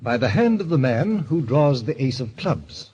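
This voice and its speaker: An actor playing a suave, sinister middle-aged club president in old radio style, with pompous, florid, genteel phrasing. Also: male voice, medium pitch, big pitch swings, moderate pace, dark tone, close to an everyday voice. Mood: suave, savoring. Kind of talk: 1940s radio acting. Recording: dull, lo-fi vintage radio recording.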